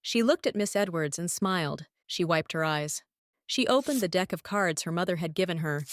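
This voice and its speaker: A woman narrating a children's story as a teacher would, expressive and clearly phrased for young listeners. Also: lowish voice, moderate pace. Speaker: read-aloud teacher and storyteller. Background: sound effects and noise.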